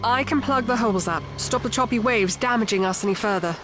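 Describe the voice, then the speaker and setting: A woman's voice: a little high, brisk, music in the background, natural voice. A woman acting a resolute young fisherwoman in an audio drama, with an emphatic, practical delivery. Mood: concerned. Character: Rabia, resolute young fisherwoman of ancient Egypt.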